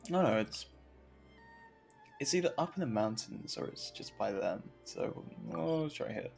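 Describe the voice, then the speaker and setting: A man's voice: lowish voice, sing-song, a little slow, creaky, a little mellow, faint music behind. A man giving casual live gameplay commentary as a streamer, rambling in a jokey, self-deprecating way. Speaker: game streamer.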